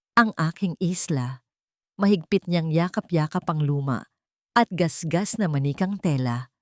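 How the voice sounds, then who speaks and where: A woman narrates an audio drama in a low, close and dry voice. Her delivery is solemn and measured, carrying emotion through a scripted first-person story. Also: darkish tone, dry recording.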